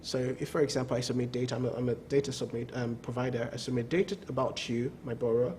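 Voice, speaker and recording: A man's voice: mid-range voice, fairly even intonation, quick pace, a little mellow, slight background noise. A man speaking as a credit bureau executive in a TV business interview, emphatic, repeating words and often asking "okay?". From not from the country of the show.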